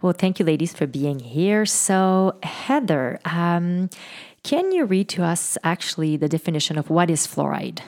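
A woman's voice: lowish voice, big pitch swings, measured pace, darkish tone. A woman hosting a podcast panel, guiding it with friendly questions. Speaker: podcast host. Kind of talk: moderating a panel.

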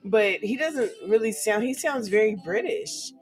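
A woman talks slowly and loosely, drawing out her words as she chats with her co-host. Her voice sits at a middle pitch, and she giggles easily between remarks. Faint music plays quietly in the background. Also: lively intonation. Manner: slow, giggly, tries playful accents. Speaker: co-host reading chat. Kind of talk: casual chat.

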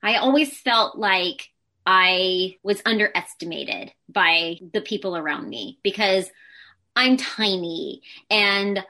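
A woman's voice: medium pitch, animated, takes it slowly, bright, forceful tone. A woman speaking as an interview guest, talking candidly and personally, with lots of casual "like" and "you know" fillers. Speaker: interview guest, Pilates instructor and entrepreneur. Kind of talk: candid conversational interview answers.

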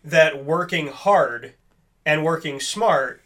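A man's voice: fairly high pitch, takes it slowly, fairly bright tone. A man hosting a casual sports talk show, speaking earnestly and emphatically in a rambling, personal way.

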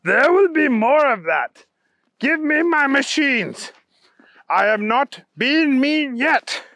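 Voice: high-pitched, sing-song, pushed and bright, pitched above the performer's own voice, full character voice. A storyteller voicing a heroic male Easter Bunny karate master, firm and earnest, in a children's story. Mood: determined. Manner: earnest, firm, slow deliberate warnings, martial cries.

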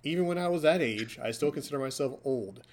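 A man's voice: mid-range voice, lively intonation, fast. A man in his forties hosts a casual podcast, chatting and ribbing his co-host in a mid-range voice. He talks from a hotel room in an easygoing, joking way. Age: 40s.

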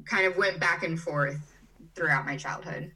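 A young woman in her twenties, from Brazil and Chicago, talks casually as an interview guest. Her voice is bright and fairly low-pitched, and she peppers her sentences with "like" and "you know". She sits a little back from the microphone. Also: moderate pace, breathy edge, distant mic, rough recording. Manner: chatty, frequent "like" and "you know" fillers. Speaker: interview guest, digital nomad.

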